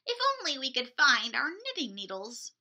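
Three kindly storybook sisters who fuss and exclaim over things, as a woman voices them. They speak in a high, bright voice with lively rises and falls. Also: moderate pace, heavily acted.

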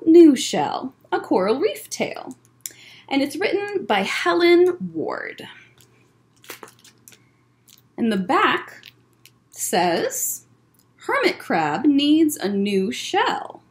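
A young adult woman hosting a children's story time, explaining things simply as she chats and reads aloud. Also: high voice. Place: library room with bookshelves, indoors. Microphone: webcam or laptop at desk distance.

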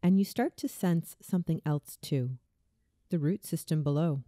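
A woman reading a scripted guided visualization in a soothing, deliberate way, as a meditation narrator. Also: low voice, mellow, dry recording, clean studio sound. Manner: soothing, slow, deliberate delivery.

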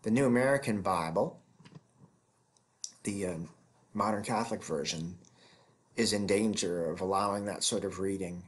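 A man in his fifties giving an explanatory theology lecture as a professor, speaking deliberately and stressing key words. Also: low voice. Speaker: professor teaching scripture.

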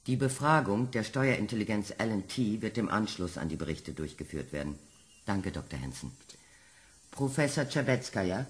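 An actor playing a brisk, formal male chairman running a commission hearing. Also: on the low side, animated, natural voice. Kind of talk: radio play acting.

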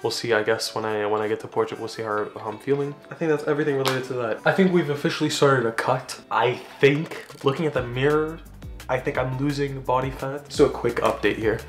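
A young man vlogging casually, rambling and swearing, getting loud and excited at times. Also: medium pitch, quick pace, soft background music. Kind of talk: casual gym vlog talk. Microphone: camera mic.

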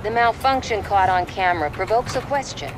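A woman playing a probing TV news reporter in a game, with crisp, dramatic broadcast delivery. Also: medium pitch, animated, a little fast, noisy background, close to an everyday voice.

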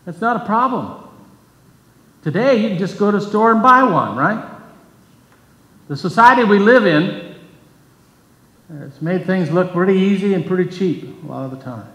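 Older male preacher, earnest measured delivery, slightly high voice, roomy church acoustics caught from a distance. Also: animated, sharp tone. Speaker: pastor. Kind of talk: sermon. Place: church sanctuary, at a pulpit. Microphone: pulpit microphone, far camera. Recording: echoey church hall from far off.

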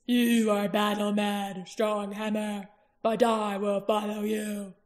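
Woman reading as a hulking male warlord, slow heavy speech, flat level delivery, audiobook. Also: medium pitch, lightly acted. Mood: grim. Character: huge brutal male Urgal warlord, battle-hungry.

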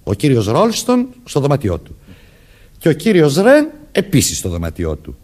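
Stern, insistent detective sergeant, formal radio-drama delivery, highly animated intonation. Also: male voice. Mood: stern, commanding. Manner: commanding, formal, deliberate. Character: authoritative, insistent male police sergeant questioning suspects. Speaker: actor. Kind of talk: Greek radio theatre acting.

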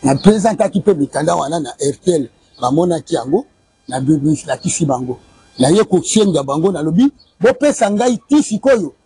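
Insistent husky man recounting a complaint. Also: on the high side, sing-song, moderate pace, warm tone, dry recording. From DR Congo. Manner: insistent, repeats dates and figures, waves documents. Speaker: interviewee with a family dispute. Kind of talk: street interview, recounting a complaint. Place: outdoor courtyard by a building. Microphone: lapel mic.

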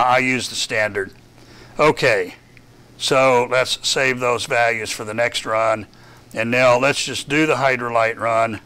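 An older man from Texas with a Southern accent, casually walking a class through software in a darkened lecture room, thinking aloud with self-deprecating asides. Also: mid-range voice. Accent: Southern US, Texan. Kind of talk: software lab walkthrough. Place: dark lecture room with projector screen.

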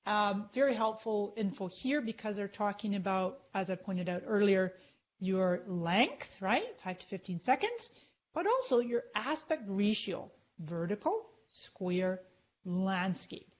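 An upbeat middle-aged woman talks viewers through her screen in a live session, chatty and quick to point things out. Her voice sits at a middling pitch. The stream audio is a little dull. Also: big pitch swings. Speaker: social media marketing trainer. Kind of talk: live screen-share tutorial.

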